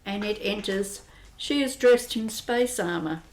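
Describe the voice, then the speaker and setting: A woman narrates an amateur radio play, setting each scene with plain, steady directions. Her delivery is even and unfussy. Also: mid-range voice, unhurried, slight background noise, rough recording.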